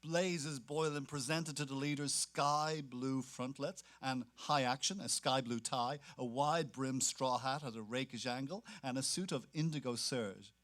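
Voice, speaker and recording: A man's voice: mid-range voice, measured pace, few pauses, fairly bright tone. A man reading literary prose aloud as an actor in a dramatic staged reading.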